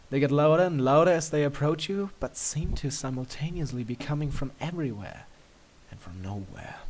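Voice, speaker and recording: A man's voice: mid-range voice, a little mellow, low-quality recording. A man reading a story aloud in scripted second-person narration. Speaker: fan fiction reader.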